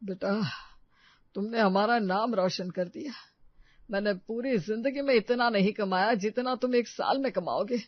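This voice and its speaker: A woman's voice: mid-range voice, measured pace, dry recording, dull audio, close to an everyday voice. A woman voicing a proud, humble middle-class parent in a story.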